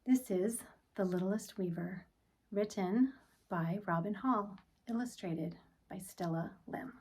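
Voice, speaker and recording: A woman reading a picture book aloud for children in an expressive, deliberate storytelling manner, with phrases that often end softly. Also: mid-range voice, recorded from a distance.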